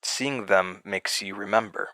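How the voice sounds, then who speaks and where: A man's voice: lowish voice, measured pace, bright, forceful tone, no echo. A man in a horror audio drama playing a smug, menacing entity that speaks through a young man's voice, mocking and condescending. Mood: wry, guarded.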